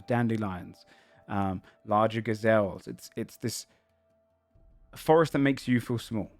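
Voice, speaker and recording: A man's voice: medium pitch, no echo. A man narrating a tabletop game as game master, describing the scene in a searching, descriptive way.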